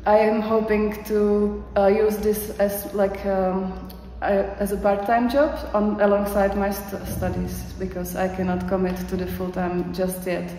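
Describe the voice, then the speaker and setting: A woman's voice: medium pitch, level tone, measured pace, hardly any pauses, mellow, a little hiss or hum. A young woman with a Czech accent giving a hesitant, unscripted testimonial, full of fillers and restarts. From Czech Republic. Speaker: course graduate giving a testimonial. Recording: echoey hall with faint background ambience.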